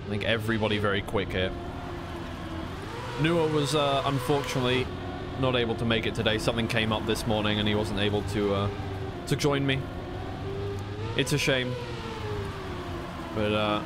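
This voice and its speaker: A man's voice: lowish voice, normal speed, pauses often, heavy background noise. A man doing live racing game commentary as a caster, mixing play-by-play with informal chat and thinking aloud. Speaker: esports caster on a co-stream. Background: racing game car sounds.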